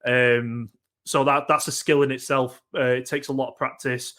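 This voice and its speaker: A young man from Manchester with a Mancunian accent, giving a casual workshop talk on getting into esports. He pauses often with ums and repeats words as he thinks. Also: mid-range voice, little pitch movement, bright, forceful tone. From Northern England, Manchester.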